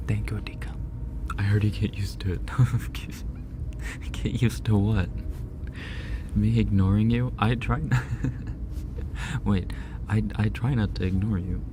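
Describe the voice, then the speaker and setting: A low-voiced man hosting a relaxed ASMR livestream, talking slowly and often slipping into a whisper. He is chatty and a little self-deprecating. A steady hiss sits under the binaural recording. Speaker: ASMR streamer. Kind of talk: casual ASMR livestream chat reading donations. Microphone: binaural microphone.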